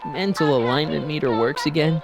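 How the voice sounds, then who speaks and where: A man's voice: fairly high pitch, a little fast, creaky, music in the background. An actor playing a skeptical, casual teenage boy who keeps asking incredulous questions in a video game. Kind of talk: animated video game dialogue.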